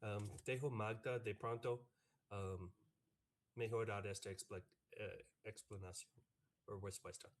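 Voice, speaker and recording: American professor, non-native speaker, slow and unhurried, hesitant delivery, video call mic. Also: male voice, lowish voice, fairly even intonation. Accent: American English. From United States. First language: English. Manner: halting, many fillers and restarts. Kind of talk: academic panel answer. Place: online video meeting. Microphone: computer mic on a video call.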